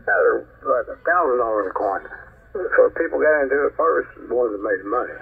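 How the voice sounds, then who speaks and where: Gravelly man on muffled shortwave radio. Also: medium pitch, animated, a little fast, a little hiss or hum. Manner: rambling, folksy, plain-spoken. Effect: shortwave radio transmission. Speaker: amateur radio operator. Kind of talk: casual two-way radio chat.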